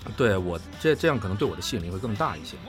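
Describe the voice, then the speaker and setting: Animated man in earnest discussion over music. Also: lowish voice, very fast. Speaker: voice actor and drama director. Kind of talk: casual panel chat. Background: background music.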